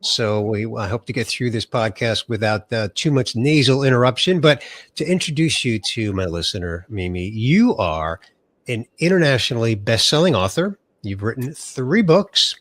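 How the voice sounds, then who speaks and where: A man's voice: medium pitch, animated, normal speed, fairly bright tone, no room sound. A middle-aged man from the USA hosting an interview podcast, sounding nasal from a cold and introducing his guest with enthusiasm. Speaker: podcast host.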